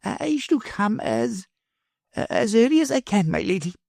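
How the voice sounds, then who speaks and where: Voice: medium pitch, sing-song, unhurried, creaky, a little mellow, dry recording, studio quality, voice pushed up, heavily acted. A storybook reader voicing a meek, flustered old male professor who talks hesitantly.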